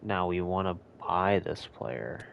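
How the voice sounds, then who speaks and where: American male gaming host, lowish voice, loose rambling delivery, laughs easily, flares into rants. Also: lively intonation, vocal fry, muffled recording, a little hiss or hum. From United States. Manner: rambling, chuckling, quick to rant. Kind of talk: casual gameplay commentary. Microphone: home recording setup.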